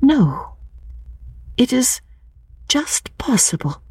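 A woman voicing a gentle young woman in love for an audiobook, soft and emphatic in manner. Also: sits high, big pitch swings, very slow, breathy edge, no echo, pitched above the performer's own voice, full character voice. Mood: wondering. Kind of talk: dramatic audiobook character reading.

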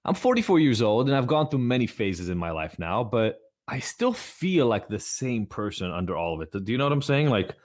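A man's voice: medium pitch, sing-song, fairly quick, hardly any pauses. A man in his forties from Israel, talking candidly and rambling in a casual conversation, swearing freely. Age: 40s.

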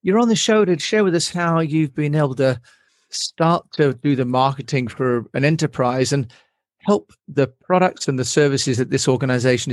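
A man's voice: mid-range voice, no echo. Guy hosting a podcast, mid voice, dry sound, doing the guest intro. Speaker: podcast host. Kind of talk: scripted-sounding show introduction, interviewing.

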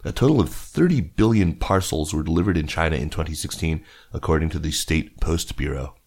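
A man with a low-pitched voice narrates business news from a script. He works as a reader for a news podcast, delivering written stories aloud. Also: a little fast, a little mellow.

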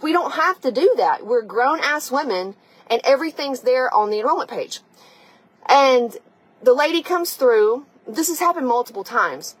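Blunt, high-voiced woman with a husky edge. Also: no echo, poor audio. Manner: blunt, profane, emphatic; conversational, addressing the listener directly. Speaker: podcast host and coach. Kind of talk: motivational tough-love monologue.

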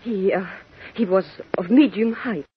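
A woman's voice: medium pitch, unhurried, slight background noise, natural voice. A woman acting in a theatrical 1940s radio drama as an adventure-serial heroine. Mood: solemn. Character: two women: brisk reporter and solemn archaic princess. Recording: muffled vintage radio recording.

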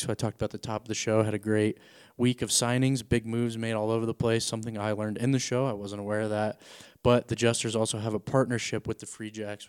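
Man hosting a sports podcast: low, even, smooth, casual. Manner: relaxed, chatty, with frequent restarts and fillers. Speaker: podcast host.

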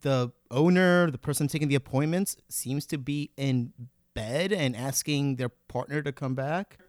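A man's voice: mid-range voice, a little slow, no echo, clean studio sound. A man hosting a comedy podcast in casual, mock-earnest banter. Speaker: podcast host.

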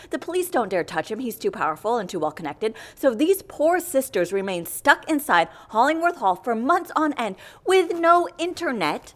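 An indignant woman in an audio comedy, her pitch swinging freely. She speaks in emphatic, ornate sentences full of theatrical scorn. She sounds exasperated and very sure of herself. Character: exasperated, indignant woman at a death-faking agency, theatrically eloquent. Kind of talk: audio comedy fiction acting.

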